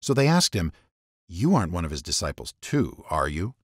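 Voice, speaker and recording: A man reads scripture as a dramatic narrator. His low voice swings widely in pitch as he sets each phrase apart in measured storytelling. The recording is close and dry. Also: very animated, no echo. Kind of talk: dramatic scripted Bible reading.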